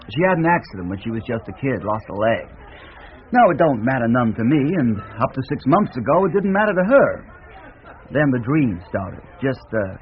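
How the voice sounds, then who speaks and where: Easygoing American drifter, acted by a man, lively intonation. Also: medium pitch, lightly acted. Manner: hesitant, colloquial, easygoing. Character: laid-back, earnest American hippie drifter. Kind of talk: radio drama dialogue. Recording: tinny narrowband radio, faint noise.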